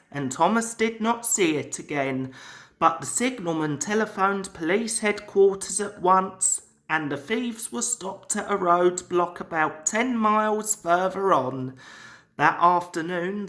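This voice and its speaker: A woman reading a children's story aloud as scripted narration, with a measured delivery. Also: lowish voice, fairly bright tone. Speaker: storybook reader.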